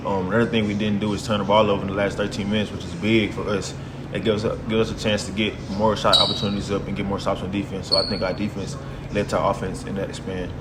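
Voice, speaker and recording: Young American male athlete in a casual interview, quick and low-pitched, with clear room noise. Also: little pitch movement. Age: young adult. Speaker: college basketball player being interviewed. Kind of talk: casual post-game interview answers.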